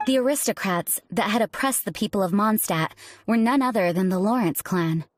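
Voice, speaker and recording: A woman voices a female knight commander in a fantasy game. She sounds measured, courteous and earnest at a medium pitch, with faint music behind her. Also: lightly acted. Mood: solemn. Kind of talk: scripted video game voice acting.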